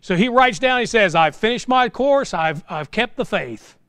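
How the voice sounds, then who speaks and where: A man's voice: high voice, expressive, normal speed, fairly bright tone, clean tone, dry recording, studio quality. A middle-aged American man preaching an informal sermon in a folksy, exhortative way, full of rhetorical questions. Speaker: pastor preaching. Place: small church sanctuary, indoors.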